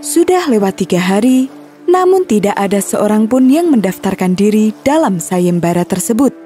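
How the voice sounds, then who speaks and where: A woman's voice: medium pitch, big pitch swings, moderate pace, dark tone, faint music behind. A woman narrating a scripted children's story as storyteller.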